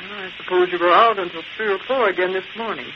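An actress playing a sensible, scolding American newspaper secretary in old-time radio drama style. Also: female voice, fairly low pitch, quick pace, close to an everyday voice. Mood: chiding, wistful. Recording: muffled, noisy vintage radio recording.

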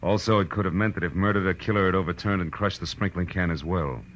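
Very deep, wry hard-boiled private eye. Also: male voice, fast, a bit bright. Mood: brooding, wry narration. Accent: American. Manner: wry, clipped, sardonic. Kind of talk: 1940s radio noir acting, first-person narration and dialogue. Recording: dull vintage radio recording with music.

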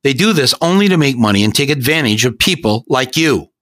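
A man's voice: mid-range voice, expressive, a little fast, fairly bright tone, dry recording, pitched above the performer's own voice, natural voice. Man playing a stern scolding doctor. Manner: scolding, emphatic. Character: stern male hospital doctor, lecturing.

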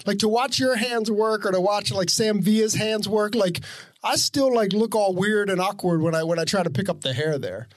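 High-voiced laughing man, rambling podcast host. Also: normal speed, no echo. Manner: laughing, rambling with many restarts. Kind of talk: casual chat.

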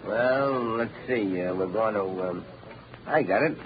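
An actor playing a gruff, suspicious gangster in an old-time radio crime drama, curt and slangy. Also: male voice, on the low side, a little slow, close to an everyday voice. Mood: wary, gruff. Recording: dull, noisy old-time radio recording.

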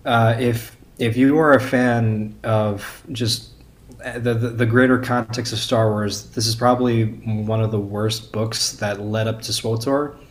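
A man's voice: on the low side, steady tone, a little slow. A young man chatting casually as a podcast guest, candid and opinionated. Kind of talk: casual chat.

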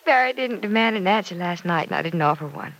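An American actress playing an earnest, love-struck, self-doubting young daughter in an old-time radio soap opera, speaking in a halting, confiding way. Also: female voice, fairly low pitch, lively intonation, fairly bright tone, poor audio. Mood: hesitant, thoughtful. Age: young adult. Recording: vintage radio transcription.

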